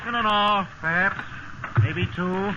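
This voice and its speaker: An actor playing a steady, fatherly sea captain in a 1950s radio drama, calm and commanding. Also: sits high, measured pace. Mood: steady, encouraging. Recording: muffled, very noisy old radio recording.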